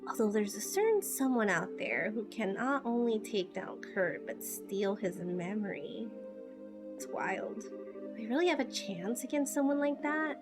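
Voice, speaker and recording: A woman doing amateur game voice acting as a spirited, earnest teenage girl adventurer who talks in a casual, expressive way. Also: medium pitch, a little slow, over music, natural voice. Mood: thoughtful. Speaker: amateur voice actor playing through a game.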